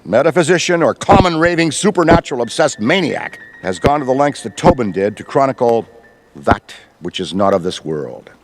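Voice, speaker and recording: A man's voice: mid-range voice, very animated, normal speed, music in the background, close to an everyday voice. A man voicing a rambling veteran ghostbuster. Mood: lively. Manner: rambling, wordy, professorial. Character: Ray, enthusiastic veteran ghostbuster, middle-aged man. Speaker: veteran mentor. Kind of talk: video game cutscene voice acting.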